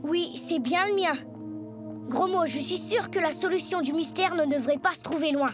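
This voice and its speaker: High-pitched plucky cartoon boy. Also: moderate pace. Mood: puzzled. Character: plucky young boy turned Egyptian prince. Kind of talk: French cartoon voice acting. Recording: music under the voice.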